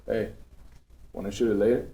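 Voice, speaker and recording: A man's voice: lowish voice, steady tone, moderate pace, vocal fry, dark tone. A man reading a casual young gangster who tells stories conversationally, in a script table read with an echoey, distant sound. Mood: reflective, casual. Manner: conversational storytelling. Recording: echoey room, distant microphone.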